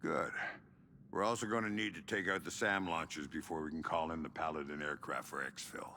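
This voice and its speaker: An actor playing a calm, disciplined veteran covert operative in a video game, giving terse, measured tactical talk. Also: male voice, a bass voice, gravelly, a little hiss or hum, exaggerated voice. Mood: calm, focused. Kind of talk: cinematic game acting.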